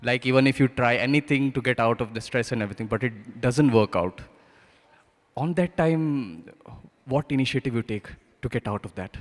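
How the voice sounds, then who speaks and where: A man's voice: medium pitch, normal speed. A man asking an unscripted question as an audience member at a conference. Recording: reverberant hall sound.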